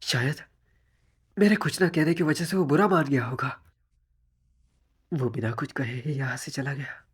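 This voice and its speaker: A man playing a gentle, anxious, polite teenage schoolboy, thinking aloud hesitantly in an anime fan dub. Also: mid-range voice, a little slow, breathy edge. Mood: regretful. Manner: hesitant, worried inner monologue.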